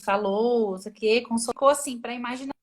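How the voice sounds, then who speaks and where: A woman's voice: on the high side, very fast. A woman translator, a guest on a live video stream, talking casually and thinking aloud with many restarts. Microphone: phone or laptop mic on a video call.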